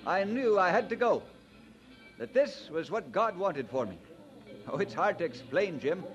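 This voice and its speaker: A man's voice: high-pitched, very animated, moderate pace, fairly bright tone, muffled recording, faint music behind. An actor playing an earnest, hesitant young man who longs to preach, in old-time radio drama style. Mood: earnest, resolved. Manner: earnest, hesitant, old-fashioned Scots turns of phrase. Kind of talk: mid-century radio drama acting.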